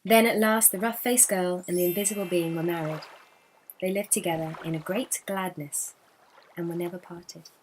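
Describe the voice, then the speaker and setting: A woman storyteller narrating a children's picture book expressively, lingering with deliberate emphasis on key words. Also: fairly low pitch, steady tone, noisy background.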